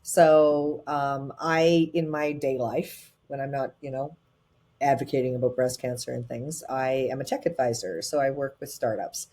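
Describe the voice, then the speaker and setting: Animated woman telling her story. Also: very deep voice. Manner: animated, quick bursts with frequent fillers. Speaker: guest, tech advisor. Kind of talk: conversational storytelling.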